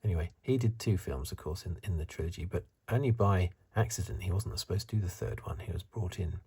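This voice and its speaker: A man giving soft-spoken, half-whispered ASMR commentary in a husky, breathy voice, musing and rambling as he goes. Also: low voice, fairly quick. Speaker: ASMR reviewer.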